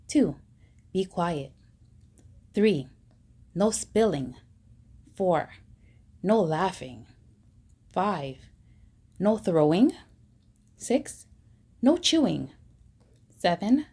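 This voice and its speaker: Slow, animated woman reading a storybook. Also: lowish voice, breathy edge. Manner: slow, expressive reading with mock-stern emphasis. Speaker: storybook reader. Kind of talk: children's picture book read aloud.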